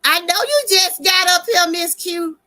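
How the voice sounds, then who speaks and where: Lively Southern woman hosting a chat. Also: extremely high pitch, measured pace. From US South, Georgia. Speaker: talk show host. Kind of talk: casual live chat.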